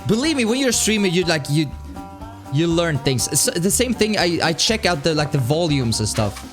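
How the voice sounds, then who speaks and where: A man with a light, high voice chats animatedly through a live game stream. His pitch jumps up and down as he rambles and laughs, and music plays behind him. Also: very animated, normal speed. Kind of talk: casual live-stream chat while playing. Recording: music playing underneath.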